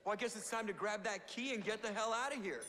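A man's voice: high voice, fast talker, noisy background, close to an everyday voice. An actor playing a chatty, wry young man fighting zombies in a game. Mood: resolved. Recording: echoey game audio under music.

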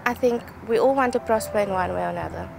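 A woman's voice: mid-range voice, quick pace, creaky, over music. A middle-aged woman with a South African accent, a teacher, speaking fondly and earnestly about a colleague. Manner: warm praise, fond and earnest. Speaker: teacher, colleague who sent the wish. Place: outdoors on open ground, then on a phone.

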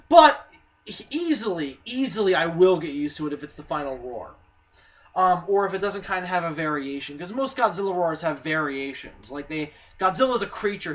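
A hoarse young man with a slight cold, talking casually and excitedly about a movie trailer, with lots of ums and restarts. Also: on the high side. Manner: excitable, rambling, swearing, many ums and restarts. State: hoarse, a little sick. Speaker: movie news vlogger. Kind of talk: casual spoken movie commentary.

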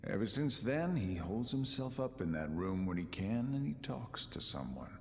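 A man's voice: fairly low pitch, very animated, moderate pace, a little mellow, long reverb tail, a little hiss or hum. A man voicing a sly, mocking middle-aged schemer in a video game, with a teasing, theatrical drawl. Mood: sly, casual. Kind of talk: video game cutscene acting.

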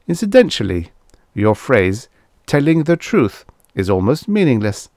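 Man voicing a calm, precise alien machine oracle. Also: medium pitch, no echo, clean studio sound. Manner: formal, measured, pedantic.

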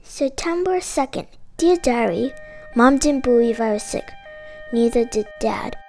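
High-voiced woman reading a children's story. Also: a little slow, soft background music. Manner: expressive, emphatic delivery with dramatic exclamations. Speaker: storyteller reading a picture book aloud with puppets. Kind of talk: animated children's read-aloud.

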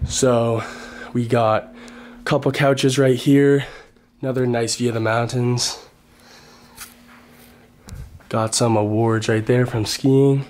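A man's voice: mid-range voice, fairly even intonation, slow, long pauses, darkish tone. A young man touring his house and talking about his workouts in a casual vlog, rambling with lots of "like.". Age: young adult. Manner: laid-back, rambling with many "like" fillers. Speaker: fitness vlogger. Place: house and car, handheld. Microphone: handheld camera, later a voice-over mic.

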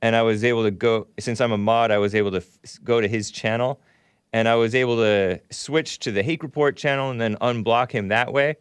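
A man in his thirties hosts a call-in show with a casual, conversational delivery. His voice sits at a middle pitch and is recorded close on a studio mic, with very little room sound. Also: fairly even intonation, fairly quick, smooth, no echo. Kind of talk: casual talk-radio chat. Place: small studio. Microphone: broadcast microphone on boom arm, close.

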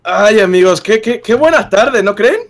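A man's voice: high-pitched, animated, normal speed, music in the background. A young man with a Mexican accent chatting casually in a roleplay with friends. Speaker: gaming video guest player.

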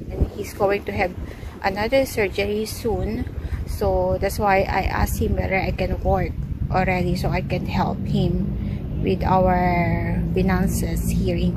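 A woman in her thirties chatting casually to her phone camera in a park, saying guys often, with plain non-native phrasing. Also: medium pitch. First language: Filipino. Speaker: travel vlogger. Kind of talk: casual vlog chat to camera. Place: outdoors in a city park. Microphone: phone camera at arm's length, no headset. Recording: outdoor phone audio with steady background noise.

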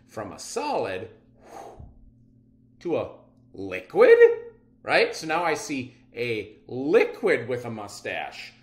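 A middle-aged man gives an instructional reading lesson for children. He takes his time and is very expressive, his pitch moving widely. The room adds a noticeable echo. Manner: animated, expressive, explanatory. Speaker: teacher. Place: indoor room. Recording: roomy echo.

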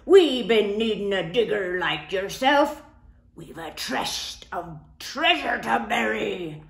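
A woman voicing a loud, blustery male pirate captain who drawls his words slowly and bellows. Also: medium pitch, lively intonation, creaky, echoey room. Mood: boisterous. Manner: slow, drawn-out pirate talk, bellowing.

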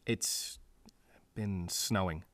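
Man playing a low-voiced weary lawman. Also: drawn-out words, fairly bright tone, dry recording, full character voice. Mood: awkward. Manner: dry, world-weary. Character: weary, wry male district attorney, married. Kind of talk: radio noir acting.

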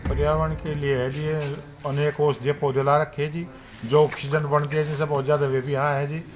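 A man's voice: fairly high pitch, flat delivery, brisk. A man in his sixties with a western Uttar Pradesh accent, giving unscripted interview answers. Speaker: local interviewee. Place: outdoors among trees. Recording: noisy outdoor field recording.

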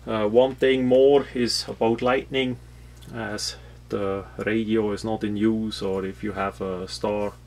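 A non-native English-speaking man from Switzerland with a Swiss accent, explaining a product off the cuff in a halting, matter-of-fact, rambling way. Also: on the low side, little pitch movement, a little mellow. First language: not English. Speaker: product reviewer. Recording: clear background noise with hum.

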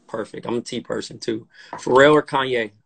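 A man in his thirties from Compton hosting a casual interview over a phone live stream, relaxed and hesitant, often repeating his words. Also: mid-range voice, fairly quick, gravelly, husky. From Compton, California. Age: 30s. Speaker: podcast host. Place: dim room indoors, on a live video call. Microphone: phone camera, split-screen live stream.

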